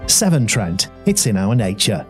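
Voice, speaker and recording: A man's voice: fairly low pitch, sing-song, normal speed, faint music behind. A man reading a scripted radio advert as a friendly, apologetic public notice. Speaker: advert voice-over.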